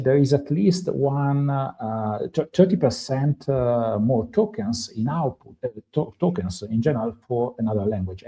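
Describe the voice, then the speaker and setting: A man's voice: medium pitch, mellow, poor audio. A man with an Italian accent, a native Italian speaker, presenting on a live tech stream in a hesitant way with many fillers and restarts. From Italy. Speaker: stream co-host presenting a guide.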